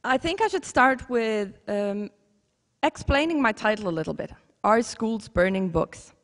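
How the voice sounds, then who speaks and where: A woman's voice: fairly high pitch, animated, unhurried. A woman from Iceland with an Icelandic accent, a teacher giving an earnest, conversational talk and correcting herself as she goes. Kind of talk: conference talk. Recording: long hall reverb.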